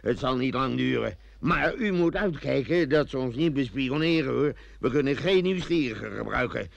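An actor playing a blunt, scheming, hard-hearted treasure digger in an old radio play. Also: male voice, medium pitch, animated, moderate pace, gravelly, dry recording, muffled recording. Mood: urgent. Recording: noisy old radio recording.

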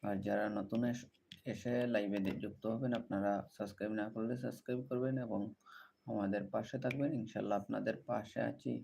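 A man's voice: on the low side, fairly even intonation, a little fast, vocal fry, dark tone. A man hosting a livestream, greeting viewers and repeating set-phrase appeals to them. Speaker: livestream host. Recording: noticeable background noise.

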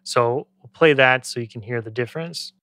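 A man in his thirties giving a casual software tutorial as a music production tutor, speaking into a close studio microphone. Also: medium pitch, moderate pace. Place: small indoor home studio. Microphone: close studio microphone on boom arm.

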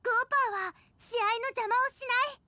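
Very high, bright girlish chef. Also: a little fast, dry recording, heavily acted. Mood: earnest. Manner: earnest, girlish, supportive. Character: cheerful, encouraging young girl chef. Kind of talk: Japanese anime game voice acting.

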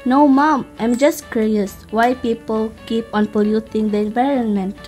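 A woman's voice: a little high, steady tone, normal speed, a little mellow, faint music behind, close to an everyday voice. A woman playing a curious child questioning a parent in an amateur radio skit.

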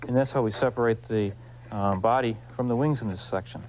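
A man hosting an instructional craft demonstration, explaining informally and in a folksy, unhurried way while he works with his hands. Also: mid-range voice, darkish tone, a little hiss or hum, poor audio. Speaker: woodcarving instructor, show host.

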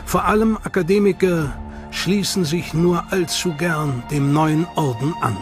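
Higher-voiced male documentary narrator. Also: measured pace. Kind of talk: scripted narration. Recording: music bed under voice.

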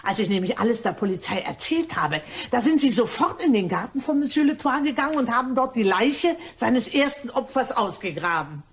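A woman's voice: a little high, sing-song, brisk, hardly any pauses, breathy edge. A woman playing a gossipy, blithely indiscreet cleaning woman in a German crime radio play, telling her tales in a chatty, dramatic way. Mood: eager gossip.

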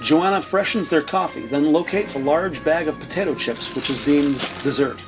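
A man's voice: a little high, normal speed. A man reading scripted narration for an audio drama. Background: music.

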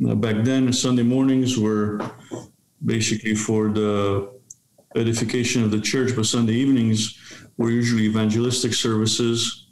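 A man, telling his life story in measured phrases on a video call. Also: lowish voice, level tone, a little mellow. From Romania. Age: 50s. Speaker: guest, seminary professor and pastor. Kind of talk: autobiographical interview answer. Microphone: webcam video call. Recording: roomy, echoing video-call sound.

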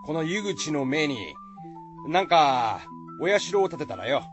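A bold, rough-talking village man in a radio folktale. He is blunt and folksy, with a highish, very animated voice. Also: measured pace, music in the background. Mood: bold, persuasive. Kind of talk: radio drama acting.

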